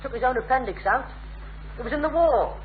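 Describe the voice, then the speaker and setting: An actor playing a cajoling, cheeky young working-class schemer with a North East English accent in an old radio sitcom. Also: male voice, extremely high pitch, expressive, a little fast. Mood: musing, cheeky. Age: young adult. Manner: persuasive, pestering, chatty. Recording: tinny, hissy old radio recording.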